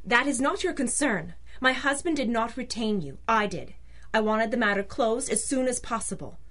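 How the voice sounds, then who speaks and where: Haughty, formal rich widow. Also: female voice, a little high, expressive, moderate pace, a bit bright. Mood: cold, curt. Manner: formal, imperious, clipped. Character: haughty, cold wealthy widow, a client. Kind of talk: old-time radio detective drama.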